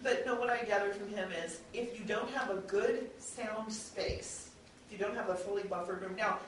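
A woman's voice: medium pitch, steady tone, moderate pace. A woman speaking conversationally on a panel, giving informal advice with a self-deprecating touch, recorded from a distance. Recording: echoey, distant, noisy convention room.

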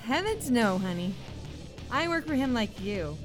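A woman playing a brisk, sassy hen who manages a gangster's bar in an audio drama, bossy and dry. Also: fairly high pitch, big pitch swings, music in the background.

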